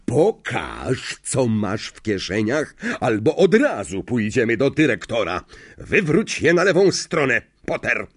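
A man's voice: medium pitch, sing-song, husky, full character voice. A man voicing a vindictive, sneering male schoolteacher in an audiobook, menacing and sarcastic, drawing out his words to mock and barking orders. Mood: snapping, threatening. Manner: drawn-out, mocking, barking orders. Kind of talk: audiobook character acting.

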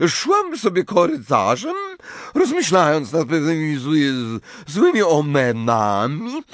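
A man voicing a tipsy, flustered, eccentric middle-aged female seer in an audiobook, dreamy and theatrical, with a wavering drawn-out voice that stammers when flustered. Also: fairly high pitch, wildly swooping pitch, no echo, voice pushed up, heavily acted. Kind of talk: audiobook character voice.

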